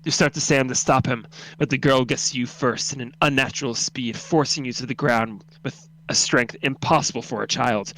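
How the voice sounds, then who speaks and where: A man's voice: mid-range voice, creaky, a bit bright, low-quality recording. A man narrating a second-person horror story in a dramatic, solemn, intense reading.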